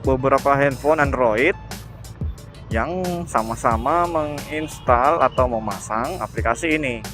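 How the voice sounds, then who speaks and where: A man's voice: medium pitch, a little slow, a bit bright, soft background music. A man giving a casual vlog explanation, hesitant and often correcting himself. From Indonesia. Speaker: motovlogger, host. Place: on a motorbike, outdoors.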